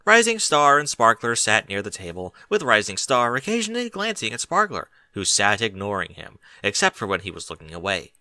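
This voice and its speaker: A man's voice: on the low side, wildly swooping pitch, fairly quick, few pauses, bright, forceful tone, dry recording. A man reading a story aloud as scripted narration. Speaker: audiobook reader of fan fiction.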